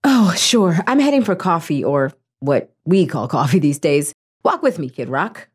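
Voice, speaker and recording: A woman's voice: deep voice, very animated, moderate pace, husky, heavily acted. A woman acting in an audio drama as a blunt, world-weary young resistance fighter with a wry, frank way of talking. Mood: casual, teasing.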